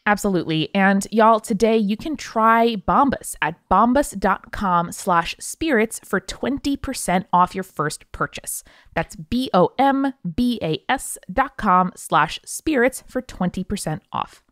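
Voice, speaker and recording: A woman's voice: mid-range voice, expressive, unhurried, fairly bright tone, no echo, clean studio sound. A woman from the United States co-hosting a podcast, chatty and effusive, with informal talk and host-read ads. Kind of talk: casual chat and conversational host-read ads.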